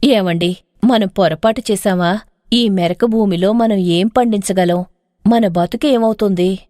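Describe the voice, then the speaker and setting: A woman playing a gentle, caring elder wife who sounds worried and soft-hearted in a moral story. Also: mid-range voice, unhurried, pitched below the performer's own voice, lightly acted. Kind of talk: acted moral-story dialogue.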